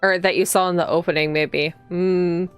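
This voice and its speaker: A woman's voice: lowish voice, steady tone, normal speed, smooth. A woman streaming a visual novel, reading it aloud and chatting with sympathetic, gushing asides over game music. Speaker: streamer, virtual YouTuber. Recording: music behind the voice.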